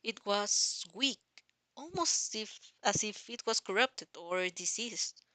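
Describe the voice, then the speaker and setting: Amateur female narrator for dramatic fan fiction, bright forceful tone, dry close sound, halting delivery with repeated phrases. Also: medium pitch, lively intonation, no echo. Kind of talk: dramatic second-person story narration.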